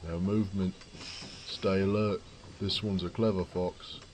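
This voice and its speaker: A man's voice: sits low, little pitch movement, unhurried, background noise. A man voicing a no-nonsense guard corporal in an audio drama, stern, curt and commanding.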